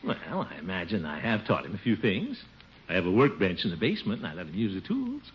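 An actor playing a pompous, boastful middle-aged bachelor with a chuckling, wheedling manner in a 1950s radio comedy. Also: male voice, low-pitched, huge pitch swings, fast. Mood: proud. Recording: dull, noisy vintage radio recording.

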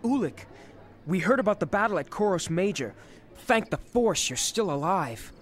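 An actor playing an eager, caring younger Jedi brother in an audio drama, earnest and boyish. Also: male voice, sits high, sing-song, moderate pace. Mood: earnest, concerned.

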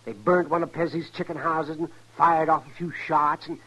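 An actor playing a cantankerous, flustered older frontier doctor who sputters and grumbles in a folksy way in an old radio western. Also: male voice, fairly high pitch, steady tone, moderate pace, fairly bright tone. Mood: grumbling. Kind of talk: 1950s radio western acting. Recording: noisy old radio recording.

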